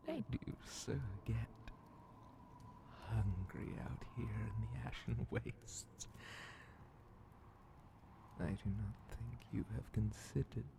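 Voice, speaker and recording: Slow, whispering, sinister demon vizier. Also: male voice, fairly low pitch, steady tone, noisy background. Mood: silky menace. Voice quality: breathy, gravelly, often dropping to a whisper. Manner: slow, theatrical, condescending, half-whispered menace. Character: sly, menacing male demon grand vizier, mockingly polite. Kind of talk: ASMR fantasy horror roleplay.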